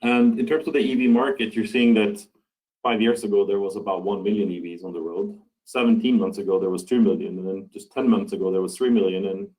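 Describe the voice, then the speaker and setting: A man from Norway giving a conference talk over a video call, speaking haltingly with many fillers and restarts. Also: lowish voice, fairly even intonation, darkish tone, rough recording. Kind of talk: online conference presentation.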